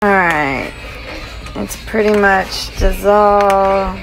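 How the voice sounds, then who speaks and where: A woman's voice: mid-range voice, very slow, gravelly, faint music behind, poor audio. A young woman narrating as she cooks in her home kitchen, talking to the camera in a chatty, casual way. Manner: chatty, talks to camera while cooking. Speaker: cooking vlogger. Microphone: phone held or propped near stove. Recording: home phone audio with kitchen noise.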